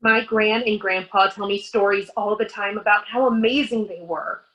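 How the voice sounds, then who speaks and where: A woman's voice: medium pitch, fairly even intonation, moderate pace, a bit bright, close to an everyday voice, rough recording. A woman reading the part of a fifteen-year-old superhero fangirl in a staged play reading over a video call, with a wistful, chatty voiceover delivery.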